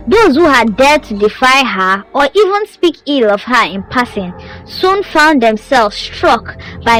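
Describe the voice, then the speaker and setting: A woman's voice: a little high, rough recording. A woman narrating a scripted folktale as a storyteller, with a dramatic, suspenseful delivery. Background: music under the voice.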